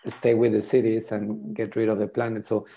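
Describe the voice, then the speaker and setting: Middle-aged French-native man on a video call. Lowish, mellow voice, halting delivery with repeated words. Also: flat delivery, gravelly. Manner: hesitant, repeats words while thinking. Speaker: tool demonstrator. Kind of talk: casual video call demo.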